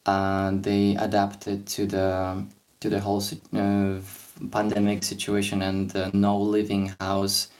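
Slow, deep-voiced young man. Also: monotone, a little mellow. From Poland. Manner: hesitant, many fillers and restarts. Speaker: podcast host. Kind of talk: casual podcast discussion.

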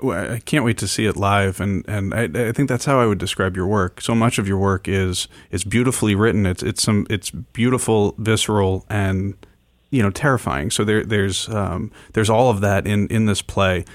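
A man hosting a casual radio interview, halting and often restarting his phrases mid-thought. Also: on the low side, no room sound. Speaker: radio show host interviewing a guest.

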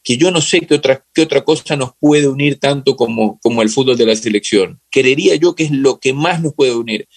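A man's voice: medium pitch, fairly quick, creaky, hardly any pauses, slightly rough, dry recording. A man with an Ecuadorian accent talking casually and reflectively as an interview guest, searching for words and often repeating phrases. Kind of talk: casual, reflective football discussion.

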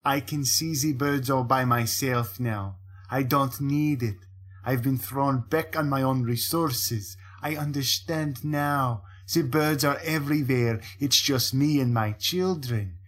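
A man voicing a paranoid, malicious painter in an audiobook, speaking slowly and broodingly. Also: mid-range voice, a bit bright. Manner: slow, brooding, menacing. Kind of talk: audiobook character reading.

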